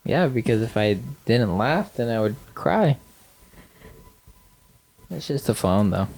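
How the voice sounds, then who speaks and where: A man's voice: medium pitch, very animated, takes it slowly, creaky, darkish tone, low-quality recording. A man with a nasal voice co-hosting a podcast, chatting casually with lots of fillers and dry sarcasm. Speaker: podcast co-host. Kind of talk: casual chat.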